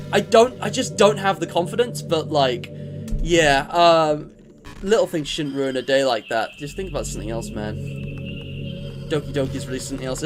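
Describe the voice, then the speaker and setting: A young man livestreaming a video game, chatting casually with viewers in a rambling, self-mocking way. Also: fairly high pitch. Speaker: gaming streamer. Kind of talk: casual live-stream chat. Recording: game music in background.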